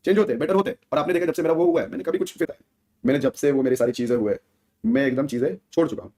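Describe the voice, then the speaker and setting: A man's voice: on the high side, rapid-fire, mellow. A man speaking as a gaming streamer in a casual, slangy rant to viewers, often seeking their agreement. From India.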